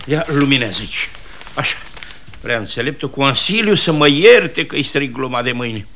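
An actor playing a pompous, agitated small-town mayor in a radio comedy, given to exclaiming. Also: male voice, mid-range voice, expressive, vocal fry, sharp tone, a little hiss or hum. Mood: indignant, baffled. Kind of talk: theatrical radio comedy acting.

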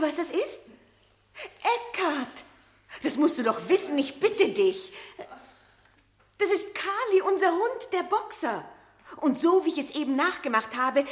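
Flustered mother: agitated, pleading, swooping high voice, radio drama. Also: reverberant. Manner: agitated, imploring, repetitive. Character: flustered, desperately pleading mother claiming her lost son. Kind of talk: German radio play acting.

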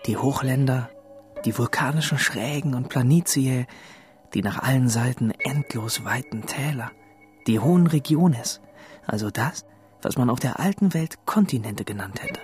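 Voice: medium pitch, moderate pace, darkish tone, natural voice. An actor in a German radio drama playing a curious young pupil, musing and full of questions. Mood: inquisitive. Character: curious, questioning young pupil raised among strange creatures. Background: music.

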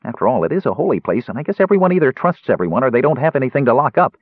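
A man acts out a small, chatty fantasy kender for an audiobook. The voice is lifted high and swoops about as it reasons eagerly and guilelessly. It comes through thin, phone-like audio. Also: very animated, racing, husky, dry recording. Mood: chatty, blithe. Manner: rambling, eager, matter-of-fact reasoning.

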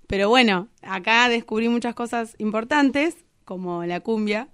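A woman's voice: a little high, slow, a bit bright, smooth, no room sound. A woman from Argentina with an Argentine accent, chatting informally and jokingly as an interview guest. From Chubut, Argentina. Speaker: guest, cumbia band singer. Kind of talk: casual interview chat.

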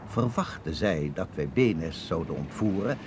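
An actor playing a suspicious colonel and security chief in a radio play, speaking formally, with authority and in measured phrases. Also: male voice, sits low, expressive, background noise. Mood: suspicious, probing. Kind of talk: Dutch radio drama acting.

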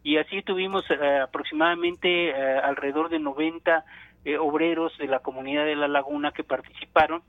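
Guy on the phone, kinda high voice, tinny dry line, explains stuff slow with lots of ums. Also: steady tone, no echo. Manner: measured, thinking aloud with many fillers. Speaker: archaeologist guest. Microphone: telephone.

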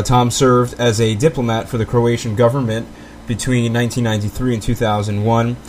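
A man's voice: medium pitch, a little mellow, a little hiss or hum. A man hosting a talk show, speaking with an emphatic, declarative delivery. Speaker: podcast host.

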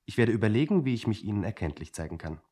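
An earnest young clerk in a radio play, fresh from his studies. The voice is low and somewhat raspy, the courteous, formal and a little pedantic. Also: very animated, fast, dark tone. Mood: polite, earnest. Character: polite, earnest young male clerk, recent student. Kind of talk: German satirical radio drama acting.

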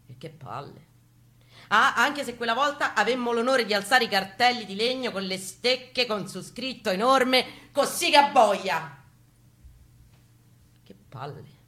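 A woman with a Roman Italian accent gives an expressive, irreverent, streetwise first-person theatrical reading in colloquial, slangy language. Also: mid-range voice. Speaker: performer of a dramatic reading. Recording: voice over prominent acoustic guitar music. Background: live acoustic guitar accompaniment.

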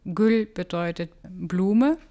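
A woman from Kyrgyzstan explaining things in an interview, in a halting, word-by-word way. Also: on the low side, dark tone. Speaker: legal anthropologist.

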